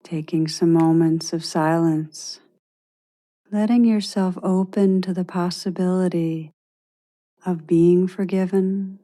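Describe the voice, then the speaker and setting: Woman, meditation guide, lowish pitch, very even intonation, gentle, measured phrasing. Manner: gentle, measured, with long pauses.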